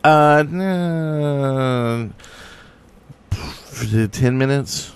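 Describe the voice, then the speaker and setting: A man's voice: on the high side, extremely slow, smooth. A man co-hosting a podcast, chatting in a rambling, matter-of-fact way. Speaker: podcast co-host. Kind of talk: casual podcast chat.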